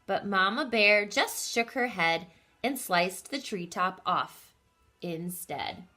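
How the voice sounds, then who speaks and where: A woman reading a picture book aloud to children, expressive and putting questions to her young listeners. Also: mid-range voice, slow, faint music behind. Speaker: children's story reader.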